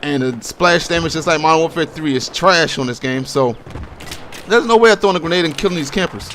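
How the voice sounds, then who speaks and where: An American man with a slightly high voice gives casual, slangy commentary over a video game. He rambles informally and states his opinions bluntly. Background noise and game gunfire sit behind his voice. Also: a little fast, a bit bright. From Baltimore, USA. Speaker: gaming commentator. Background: video game gunfire and explosions.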